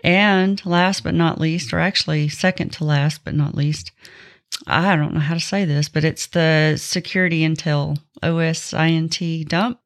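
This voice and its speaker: A woman's voice: low-pitched, steady tone, a little slow. A woman co-hosting a podcast, chatting informally as she shares announcements with listeners. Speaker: podcast co-host. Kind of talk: casual podcast chat with announcements.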